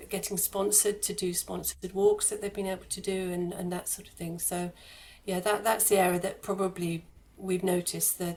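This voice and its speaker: A woman giving explanatory interview answers as a charity guest, in halting phrases with repeated words. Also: on the low side, darkish tone, slight background noise. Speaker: guest, charity head of fundraising and communications.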